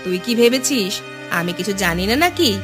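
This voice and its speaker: A woman's voice: mid-range voice, big pitch swings, normal speed, lightly acted. A woman voicing a knowing, middle-aged village mother in a cartoon, chiding and teasing with bossy assurance. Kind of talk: cartoon voice acting. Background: background music.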